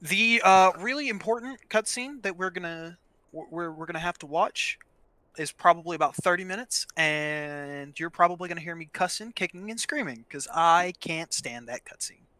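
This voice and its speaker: A man's voice: sits high, moderate pace, sharp tone, no echo. A young adult man co-hosting gameplay with casual, playful, informal chat. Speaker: gaming co-host.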